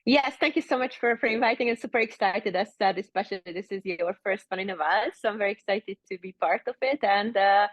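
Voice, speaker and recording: A woman's voice: mid-range voice, quick pace, hardly any pauses, no echo. A woman in her thirties from Hungary, chatting as a podcast guest and rambling with lots of filler words. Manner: rambling, heavy with fillers like "like" and "you know". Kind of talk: casual interview chat.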